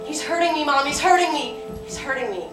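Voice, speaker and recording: A woman's voice: high-pitched, animated, fairly quick. A woman performing a dramatic confessional monologue as a wounded, defiant daughter, speaking with emotional emphasis. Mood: hurt. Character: wounded, defiant young woman recounting betrayal by her mother. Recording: echoey room, distant mic, music behind.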